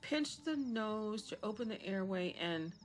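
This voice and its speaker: A woman's voice: mid-range voice, animated, a little slow, close to an everyday voice. A woman playing a cruel, self-absorbed young female killer in a darkly comic audio drama, speaking in a mocking, sardonic, conversational way. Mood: relieved, irritated. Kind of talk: dramatic monologue in an audio horror comedy.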